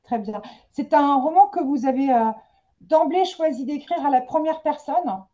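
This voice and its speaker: Woman, interviewer, high voice, very dark mellow tone, hesitant, muffled lo-fi sound. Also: moderate pace. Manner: hesitant, many fillers. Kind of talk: literary interview, reading an introduction.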